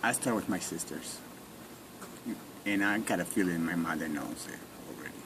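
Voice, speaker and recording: A man in his forties with a Mexican Spanish accent and a very deep voice. He answers in short, plain phrases with halting restarts. The recording has a steady noisy hiss behind it. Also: gravelly. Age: 40s. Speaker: interviewee. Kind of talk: oral history interview.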